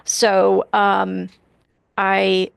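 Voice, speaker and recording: A woman hosting a casual interview, talking effusively with halting restarts. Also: mid-range voice, monotone, vocal fry, clear, resonant tone, dry recording, clean studio sound. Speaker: interview host.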